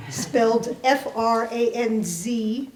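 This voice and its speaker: A woman poet presenting and reading their work aloud to a live library audience, with careful, deliberate delivery. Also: on the high side, darkish tone, a little hiss or hum. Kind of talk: dramatic poetry reading with spoken introduction. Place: indoor library reading event. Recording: roomy, echoing live event recording.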